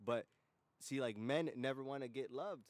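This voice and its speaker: Male podcast host, American, irreverent and foul-mouthed, loose casual banter, mid-pitched voice, closely recorded without room sound. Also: moderate pace, no room sound. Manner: slangy, profane, irreverent.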